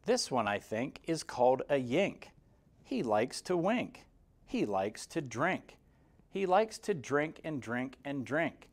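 A man in his forties reading a rhyming children's picture book aloud, expressively, in a measured sing-song with clear emphasis. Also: medium pitch, big pitch swings. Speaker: guest story reader for kindergarteners. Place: indoor room, seated in front of a banner. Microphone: lapel mic.

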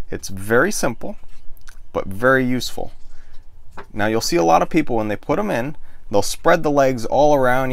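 A man in his thirties, with a low gravelly fry under his words, talks through a how-to in a calm, methodical way. He sits close to the camera in a small cabin, and the sound is dry and tight with no room echo. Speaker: sailing show host. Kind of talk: step-by-step how-to explanation. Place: small wood-paneled boat cabin, indoors. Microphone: camera close in front of the face.

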